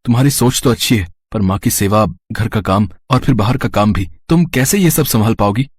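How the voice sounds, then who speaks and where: Man as husky, troubled poor husband. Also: fairly low pitch, fairly quick, no room sound, studio quality, voice pushed down. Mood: concerned. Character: poor, distressed labourer husband, caring son. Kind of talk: dramatised Hindi moral story.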